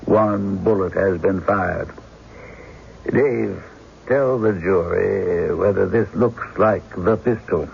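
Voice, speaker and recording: Deep, deliberate, gravelly prosecutor. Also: male voice, exaggerated voice. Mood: methodical, formal. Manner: formal, deliberate questioning. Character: stern, methodical frontier prosecuting lawyer. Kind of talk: old-time radio drama acting. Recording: muffled, faintly noisy radio broadcast.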